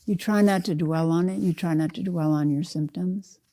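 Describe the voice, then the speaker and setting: An older woman, a meditation teacher, leading a guided meditation in a measured, deliberate way, in short phrases with pauses. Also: lowish voice, mellow. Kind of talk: slow guided meditation narration.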